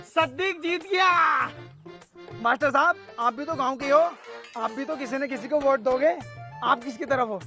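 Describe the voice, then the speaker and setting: A man playing a loud, boastful, quarrelsome villager in a comedy sketch, with a crude, combative manner. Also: extremely high pitch, moderate pace, heavily acted. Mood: triumphant, pushy. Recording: music behind the voice.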